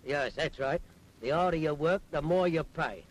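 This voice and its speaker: A man's voice: on the high side, moderate pace, close to an everyday voice, low-quality recording. An actor playing a sceptical, blunt working man who grumbles sardonically in a 1940s public information film. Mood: grumbling.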